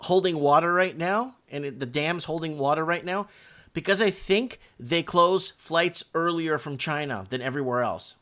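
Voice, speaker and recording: A man hosting a live call-in stream, talking casually in a halting, musing way and repeating himself. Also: fairly high pitch, pushed and bright. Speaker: live stream host taking guest calls.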